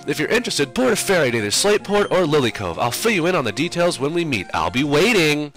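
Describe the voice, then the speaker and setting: A man voicing a cheerful young man who calls in excitedly, in a high, chirpy put-on voice. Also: fast talker, soft background music. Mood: chipper.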